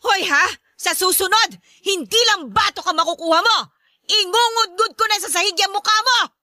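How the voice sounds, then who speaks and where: A very high-pitched, harsh mother in a radio drama. Her voice is extremely sharp and forceful, scolding in exclamations and threats, recorded dry and close. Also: normal speed, no echo, heavily acted. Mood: furious scolding. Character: harsh, scolding, short-tempered Filipino mother of a student. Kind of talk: Tagalog radio drama acting.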